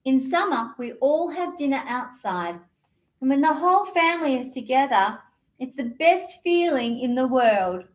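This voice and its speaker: Animated, high-voiced woman reading to children. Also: normal speed. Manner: theatrical, playful, sing-song delivery. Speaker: children's storytime presenter. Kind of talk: animated picture-book reading and action songs for young children.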